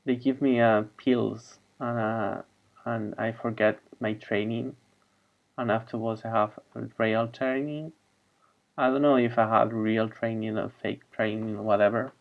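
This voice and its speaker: A man whose first language is Spanish talks slowly in a flat, even voice. He rambles in a halting way and often trails off. The sound is dull and lo-fi, like audio from a video call. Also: on the low side. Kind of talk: rambling monologue.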